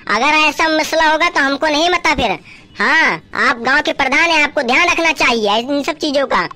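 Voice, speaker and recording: A man's voice: very high voice, moderate pace, pushed and bright, exaggerated voice. A man voicing a bossy, corrupt village police inspector in a comedy cartoon, scolding in a rural Uttar Pradesh Hindi accent. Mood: bossy, reassuring. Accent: eastern Hindi belt, rural Uttar Pradesh. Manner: scolding, self-important, swearing. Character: bossy, bribe-taking male village police sub-inspector.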